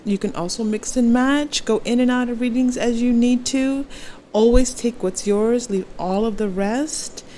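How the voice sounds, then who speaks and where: Woman, unhurried pace, gentle reassuring manner, casual tarot reader, reflective pauses, faint air-conditioner hum. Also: mid-range voice. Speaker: tarot reader, channel host. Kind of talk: casual spoken tarot reading to viewers. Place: temporary indoor recording spot. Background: air conditioning running.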